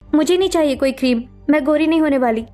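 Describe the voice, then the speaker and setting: A woman's voice: high voice, fast talker, darkish tone, faint music behind, close to an everyday voice. A woman voicing a hurt, self-doubting young sister-in-law in a dramatic cartoon story, with a plaintive, defensive manner. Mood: refusing.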